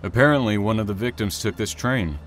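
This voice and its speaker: A man's voice: lowish voice, fairly quick, fairly bright tone, a little hiss or hum, natural voice. A man playing a sober, rule-minded train engineer in an audio drama, reserved and businesslike. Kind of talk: naturalistic audio drama acting.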